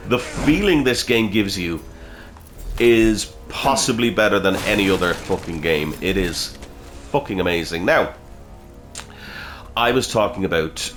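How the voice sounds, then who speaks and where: A man's voice: sits low, a bit bright. A man with a slight cold giving chatty, casual gameplay commentary, swearing and reacting aloud as he fights. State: nursing a cold, a bit sniffly. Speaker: gaming video host.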